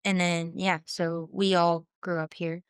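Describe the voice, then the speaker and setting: Earnest young woman, low smooth voice. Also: flat delivery, a little slow, no echo, studio quality. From Southern California. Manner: earnest and reflective, trailing off and restarting often. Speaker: guest musician. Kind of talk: casual podcast interview.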